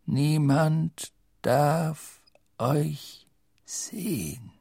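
A man reading an audiobook, voicing an old, wise wizard headmaster who speaks in a measured, grave and sometimes hushed way. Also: lively intonation, vocal fry, breathy edge, dry recording, clean studio sound, exaggerated voice. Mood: hushed urgent. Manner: measured, low, at times hushed.

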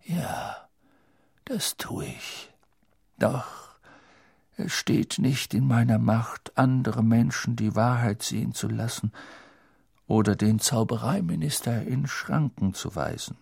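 A man reading an audiobook, voicing an old, wise wizard headmaster who speaks in a measured, grave and sometimes hushed way. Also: gravelly, husky, no room sound, studio quality, heavily acted. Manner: measured, low, at times hushed.

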